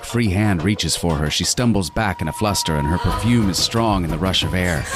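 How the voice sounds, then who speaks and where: An actor playing an obsessive, meticulous serial killer, narrating a horror podcast in an intense, deliberate, breathy and at times hushed voice. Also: male voice, extremely low pitch. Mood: menacing, excited. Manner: intense, menacing, deliberate first-person narration. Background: music.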